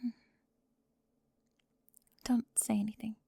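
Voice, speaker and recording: A woman playing a talkative, bossy high school girl who gets easily flustered, in an ASMR roleplay with halting speech and breathy drops toward a whisper. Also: little pitch movement, warm tone, no echo, studio quality, heavily acted. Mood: tender, flustered.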